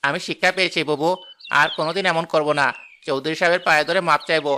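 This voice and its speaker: Guy doing a greedy village shopkeeper, talks fast, bright sharp voice, cartoon. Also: on the high side, fairly even intonation, a little hiss or hum. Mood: remorseful. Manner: quick and pleading. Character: greedy village lemon seller, later remorseful. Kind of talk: cartoon voice acting.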